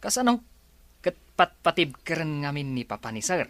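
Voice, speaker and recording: A man's voice: fairly high pitch, very animated, moderate pace, a bit bright, no room sound. A man acting a cheeky, joking employee in an Ilocano radio drama, with a teasing manner. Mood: gossipy.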